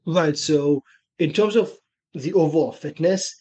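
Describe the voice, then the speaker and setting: A young man in his twenties from Israel, with an Israeli accent, telling his story in a relaxed way as a podcast guest. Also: a little high, a little slow, husky. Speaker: guest, personal trainer. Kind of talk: casual podcast interview. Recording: dull lo-fi remote audio.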